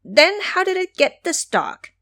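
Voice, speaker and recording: A woman voicing a gullible, excitable little male demon imp who exclaims and asks wide-eyed questions in an audio storybook. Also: very high voice, measured pace, no room sound, clean studio sound, pitched above the performer's own voice, full character voice. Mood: astonished, puzzled.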